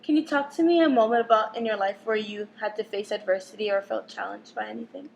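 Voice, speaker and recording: A woman's voice: medium pitch, fast, gravelly, slight background noise, rough recording. A young woman interviewing a guest, asking short questions and giving brief encouraging prompts.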